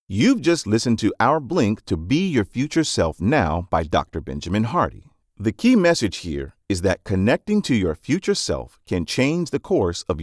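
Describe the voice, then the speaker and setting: A man reading scripted nonfiction narration in a measured, instructive manner, as for an audiobook summary. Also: fairly low pitch, dry recording, clean studio sound.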